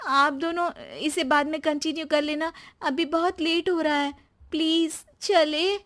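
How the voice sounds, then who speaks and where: Woman playing a very high-voiced polite daughter-in-law. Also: steady tone, a little slow, a bit bright. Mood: pleading. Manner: pleading, respectful.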